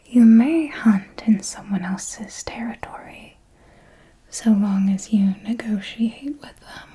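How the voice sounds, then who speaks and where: A woman playing an elegant, seductive vampire mentor in a whispered ASMR roleplay, speaking in a deliberate, intimate and formal way. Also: fairly high pitch, mellow, husky, full character voice, rough recording. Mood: instructive, composed. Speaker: ASMR performer.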